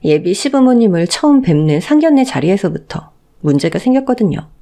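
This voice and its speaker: A woman's voice: lowish voice, warm tone. A woman narrating a dramatized first-person story for a radio story channel.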